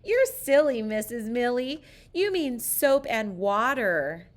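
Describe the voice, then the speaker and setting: A woman reader voicing amused young pupils in a storybook who loudly correct their silly teacher, stretching out the corrected words. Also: on the high side, very animated, voice pushed up. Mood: amused shouting. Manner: loud, emphatic, drawn-out correcting.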